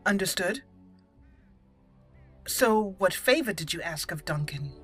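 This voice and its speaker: A woman voicing a haughty, formal female elf mage in a role-played fantasy video game, with measured speech. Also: lowish voice, expressive, fairly bright tone, exaggerated voice. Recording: game music clearly behind the voice.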